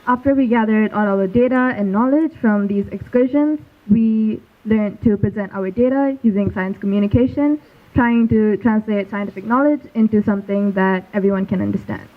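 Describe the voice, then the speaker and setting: A woman's voice: mid-range voice, moderate pace. A young woman from Malaysia presenting a school project earnestly and steadily. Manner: earnest, steady recitation from notes. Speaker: student presenter. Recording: tinny phone-like sound.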